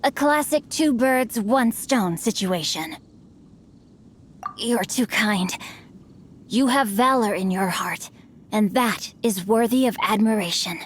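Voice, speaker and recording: A woman's voice: mid-range voice, takes it slowly, pauses often, slight background noise, natural voice. A woman voicing a refined, bookish, slyly teasing noble teenage boy in a video game, with ornate, courtly phrasing. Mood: courteous. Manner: ornate, courtly, archaic wording. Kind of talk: English video game voice acting.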